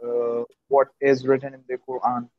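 A man in his thirties from Pakistan, an Urdu speaker, talking on an earphone mic over a video call, measured with frequent uh pauses. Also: medium pitch, little pitch movement, no room sound. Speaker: English learner and channel host. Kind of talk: casual video call conversation. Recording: dull, dry video call audio.

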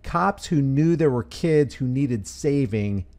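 A man reading scripted, critical commentary as a voice-over, deliberately stressing key phrases. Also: medium pitch, expressive, a little mellow. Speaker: commentary channel host.

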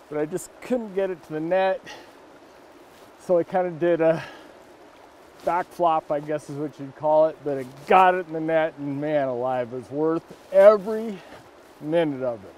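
A middle-aged man hosting a fly-fishing video, talking casually and excitedly on a riverbank. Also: on the high side, a little hiss or hum. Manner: excitable, exclaims often. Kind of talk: casual outdoor commentary. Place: outdoors on a riverbank.

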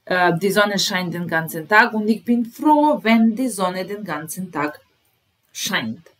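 A woman's voice: medium pitch, expressive, slow pace. A woman in her thirties teaching a language lesson, patiently repeating phrases word by word at a webcam in a small room. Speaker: German teacher. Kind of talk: slow explanatory language lesson.

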